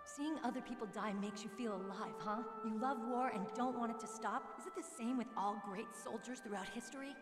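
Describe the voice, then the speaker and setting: A woman's voice: fairly high pitch, brisk, few pauses, natural voice. Heavy echo and background music surround a young woman soldier voiced by an actress in a video game. She is tough and proud, speaking frankly and earnestly, with a searching streak under the self-assurance. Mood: challenging, teasing. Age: young adult. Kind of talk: dramatic game cutscene dialogue. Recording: echoey reverberant game audio, music underneath.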